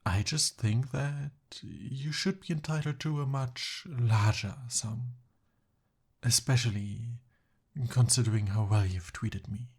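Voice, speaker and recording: A man plays a young prince held captive by a dragon, speaking in a formal, courtly way. His delivery is unhurried and a little hesitant, with a soft husk to the voice. He is smooth-talking and eager, in the style of an ASMR audio roleplay. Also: mid-range voice. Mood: courtly persuasion.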